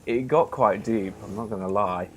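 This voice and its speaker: A man's voice: on the low side, normal speed, slight background noise, close to an everyday voice. An actor playing a cheeky colleague who mocks his friend and swears casually. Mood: mocking. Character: cheeky male colleague, crude and joking.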